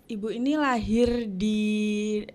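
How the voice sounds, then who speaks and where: A young woman from Papua, a university student and podcast guest, answering casually with many pauses and fillers. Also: medium pitch, fairly even intonation, darkish tone. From Papua, Indonesia. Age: young adult.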